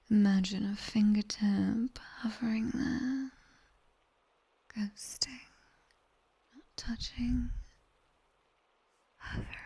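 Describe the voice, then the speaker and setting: A seductive British demoness tutor, played by a woman, whispers very slowly into a close binaural mic. Her breathy voice has a faint crackle of fry and a soft, dark edge. She instructs in a commanding, teasing ASMR style, like a sharp-tongued teacher of nightmares. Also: medium pitch, exaggerated voice. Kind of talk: whispered ASMR roleplay, guided body scan. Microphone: close binaural microphone.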